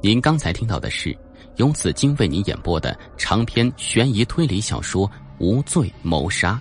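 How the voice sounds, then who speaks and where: A male audiobook narrator reading suspense fiction. His voice is low and moves expressively from phrase to phrase. Music plays underneath the scripted storytelling. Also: sing-song, moderate pace.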